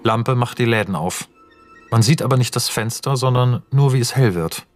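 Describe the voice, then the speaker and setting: A man's voice: medium pitch, normal speed. Casting: male narrator for radio drama, mid-range voice, reads stage directions precisely and factually, faint music. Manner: matter-of-fact, precise. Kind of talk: scripted narration in a German radio play.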